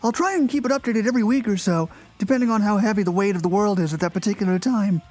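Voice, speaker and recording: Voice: medium pitch, fast talker, a little mellow, soft background music, lightly acted. A performer voicing a gloomy, self-pitying cartoon character who reads his blog in a mournful, theatrical way. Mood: gloomy, resigned. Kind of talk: dramatic reading of a moody blog.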